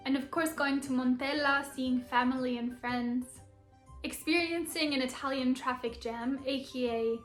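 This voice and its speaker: Bubbly high-voiced woman in an echoey room. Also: fairly even intonation, normal speed. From Philadelphia, United States. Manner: enthusiastic, bubbly, laughs often. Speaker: vlogger and Italian teacher. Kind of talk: upbeat vlog introduction to camera. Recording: echoey room with faint music.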